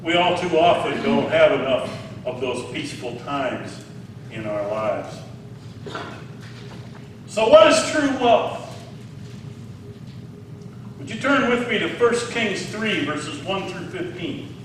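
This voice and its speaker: Man in his sixties, unhurried sermon, booming hall echo, slightly off-mic. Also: lively intonation, fairly bright tone, a little hiss or hum. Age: 60s. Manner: conversational preaching, asks the congregation questions. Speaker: pastor. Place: large echoey hall. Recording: echoey hall, distant mic.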